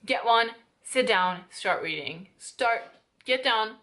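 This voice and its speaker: A woman's voice: on the high side, expressive, slow pace, sharp tone, slight background noise. Bubbly young woman vlogger. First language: Hungarian. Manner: bubbly, playful, chatty. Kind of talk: casual vlog to camera.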